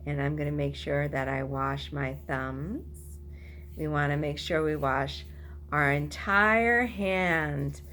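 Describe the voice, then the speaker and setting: A woman's voice: deep voice, slow, fairly bright tone, a little hiss or hum. A woman teacher talking to toddlers, giving instructions in simple, repeated phrases. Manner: simple, repetitive phrasing addressed to small children. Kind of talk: instructional talk and sing-along for toddlers.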